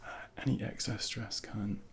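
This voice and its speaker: A man softly whispering a medical ASMR role play as a junior doctor, gently reassuring and instructing, close to a binaural microphone. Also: normal speed. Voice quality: breathy whispering, gravelly.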